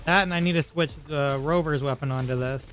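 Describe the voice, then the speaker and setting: A man streaming a game, giving casual commentary and thinking aloud in a low-key way. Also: brisk. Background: faint game music.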